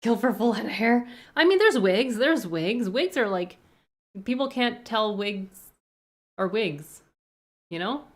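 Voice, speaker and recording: A woman's voice: fairly high pitch, lively intonation, slow pace. A young woman with a Canadian accent, chatting casually on a live stream and answering viewer questions. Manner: rambling, thinks aloud with frequent hedges.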